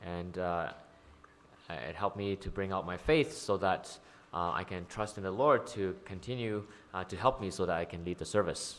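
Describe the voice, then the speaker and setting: Very deep-voiced man interpreting a sermon. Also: normal speed, fairly bright tone. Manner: hesitant, frequent ums and uhs. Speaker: church interpreter.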